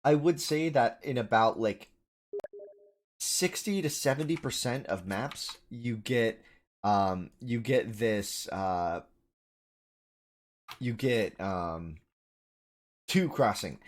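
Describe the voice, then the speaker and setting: A man's voice: medium pitch, slow, pauses often. A man streaming live match commentary, chatting informally with his viewers as he goes. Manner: chatty, informal, addresses chat as dude and buddy.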